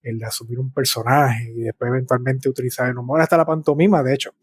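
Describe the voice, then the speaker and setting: Puerto Rican man, mid-pitched, dry close sound. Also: fairly even intonation, fast, husky, no room sound. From Puerto Rico. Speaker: interview guest, speaker and artist. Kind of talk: reflective conversational storytelling.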